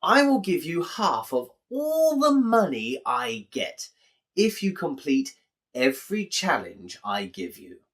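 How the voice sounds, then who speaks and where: Man playing Victorian bookmaker villain, sly, smug, deliberate, very varied pitch, storybook cheat. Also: very animated, a bit bright, exaggerated voice. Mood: scheming.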